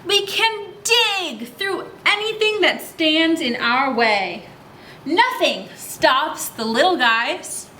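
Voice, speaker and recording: A woman's voice: high-pitched, expressive, slow, sharp tone, exaggerated voice. A woman voicing a band of tiny, boastful, bossy forest creatures in a children's picture book, with proud, emphatic declarations. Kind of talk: picture book read-aloud for young children. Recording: roomy echo, faint background noise.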